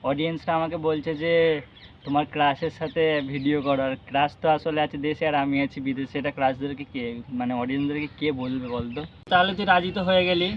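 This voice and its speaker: A young man chatting casually on a phone call, teasing, coaxing and pressing with questions. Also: a little high. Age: young adult. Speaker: vlogger making a prank phone call. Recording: noisy with birds squawking behind. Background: parrot squawks and ambient noise.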